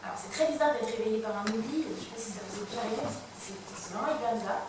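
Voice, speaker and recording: A woman whose first language is Arabic speaks thoughtfully on a panel and repeats words as she searches for them. Her voice sits a little high. It is picked up from far off in a very echoey hall over steady noise. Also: rapid-fire. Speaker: stage director on a panel. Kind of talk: reflective panel talk.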